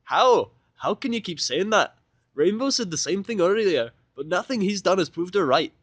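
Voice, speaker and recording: Man playing a brash, high-pitched indignant young filly. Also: expressive, normal speed, dry recording. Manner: indignant, accusing, loud. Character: brash, hostile, protective young female pony filly.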